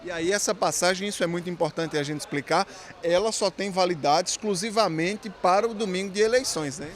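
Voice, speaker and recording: A man reporting for a TV news segment, with a crisp, measured delivery. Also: sits high.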